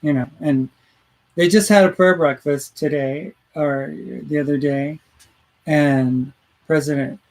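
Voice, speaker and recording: A man's voice: fairly high pitch, fairly even intonation, slow pace, creaky, darkish tone, poor audio. A man hosting a talk radio show in casual chat, rambling through his points and reading listener comments aloud. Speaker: host.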